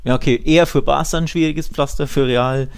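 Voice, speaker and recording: Gravelly-voiced man chatting about sport. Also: fairly high pitch, steady tone, brisk. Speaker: football podcast host. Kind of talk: casual sports discussion.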